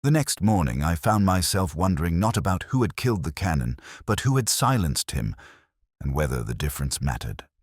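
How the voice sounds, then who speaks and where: A man playing a weary, razor-minded police inspector, narrating a detective audio drama in a measured, reflective, literary way. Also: deep voice, sing-song, husky, dry recording, studio quality, exaggerated voice. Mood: brooding, measured.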